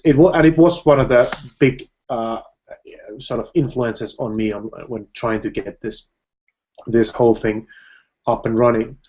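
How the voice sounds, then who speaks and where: A man hosting a live video-call chat, talking in a halting way with many restarts and easy laughs. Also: medium pitch, darkish tone. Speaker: film director hosting a live video hangout. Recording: dull lo-fi video-call audio.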